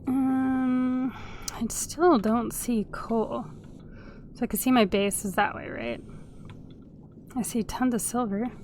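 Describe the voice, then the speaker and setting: A woman's voice: a little high, fairly even intonation, slow pace, pauses often, a little mellow, a little hiss or hum. Sniffly woman chatting through a gameplay video. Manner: chatty, hums and sings little tunes while playing. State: sniffly from a cold. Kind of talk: casual let's-play commentary.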